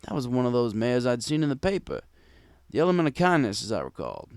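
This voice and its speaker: A man's voice: mid-range voice, wildly swooping pitch, fast, darkish tone, clear, resonant tone, no room sound, studio quality. A man reading a first-person story in a wry, conversational storytelling manner. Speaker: fan fiction reader.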